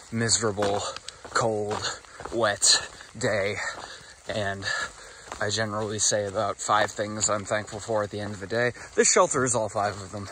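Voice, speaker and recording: A man's voice: fairly low pitch, noisy background. A young man vlogging from a long-distance hike on a phone held at arm's length, talking in a wry, self-mocking way outdoors. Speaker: thru-hiker vlogger. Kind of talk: casual trail vlog to camera. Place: outdoors on a mountain trail.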